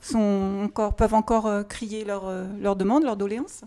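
Mellow-voiced woman interviewer. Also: mid-range voice, steady tone, moderate pace. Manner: searching for words, friendly questioning. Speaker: interviewer, radio host. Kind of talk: casual interview questions. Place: small family café.

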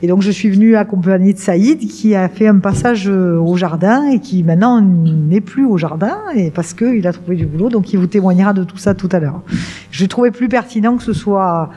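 A middle-aged woman speaks in a mellow, darker tone at a mid pitch. She explains her organisation at length in a radio interview, halting often and restarting her sentences. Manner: hesitant, many fillers and restarts, explanatory. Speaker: director of a work-integration market garden.

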